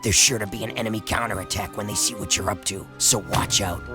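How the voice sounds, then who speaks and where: Actor as gruff low-voiced war commander. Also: male voice, steady tone, fast talker, over music, close to an everyday voice. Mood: businesslike. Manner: terse military briefing delivery, dry humor. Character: gruff, wry male resistance commander in a war. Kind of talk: video game cutscene acting.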